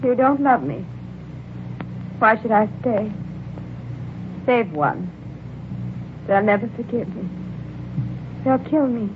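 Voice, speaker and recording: Despairing wife in old radio horror, highish voice, talks real slow, melodramatic. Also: female voice, lively intonation. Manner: slow, melodramatic, fraught delivery. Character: despairing, jealous wife, fearful and fatalistic. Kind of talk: old-time radio horror drama acting. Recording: tinny, noisy vintage radio recording.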